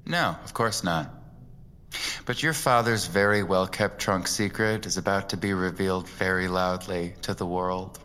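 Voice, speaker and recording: An actor playing a shrewd antiques appraiser in an audio drama, dry, professional and deliberate. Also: male voice, low-pitched, pushed and bright, big-room sound. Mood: polite, wry. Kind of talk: dramatic audio fiction acting.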